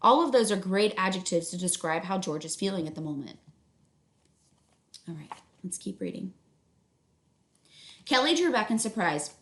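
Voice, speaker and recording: A woman's voice: mid-range voice, animated, normal speed, pauses often, fairly bright tone, slight background noise. Female teacher, mid-range voice, narrating a storybook and quizzing listeners instructively, in a reverberant room. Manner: instructive, questioning. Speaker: teacher reading aloud. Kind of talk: read-aloud narration with questions to students. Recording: echoey room.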